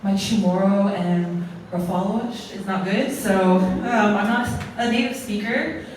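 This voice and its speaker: A woman's voice: mid-range voice, unhurried, a little mellow. A woman from American Samoa giving a formal, earnest closing speech at an arts awards event, often building her points as lists. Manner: earnest, ceremonial, speaks in lists. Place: large echoey museum hall. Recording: echoey hall, distant mic.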